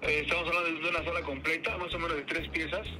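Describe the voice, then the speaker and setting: A man's voice: a little high, level tone, quick pace. A man with a Mexican accent on a phone call with a customer, polite and hesitant, often repeating himself. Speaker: furniture cleaning service worker. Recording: phone call with music behind.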